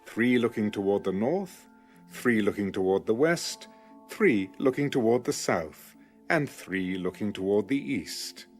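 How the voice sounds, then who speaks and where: A man reading scripture as an audiobook narrator in a measured, formal manner. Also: mid-range voice.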